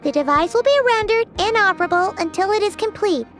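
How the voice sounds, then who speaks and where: A little sprite companion from a video game, with a tiny, squeaky child's voice pitched extremely high. Her delivery leaps around with lively intonation and exclamations, chirpy but quick to get exasperated. Music plays clearly behind her. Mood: focused, reciting. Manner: speaks of herself in third person, exclamatory. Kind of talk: animated video game dub acting.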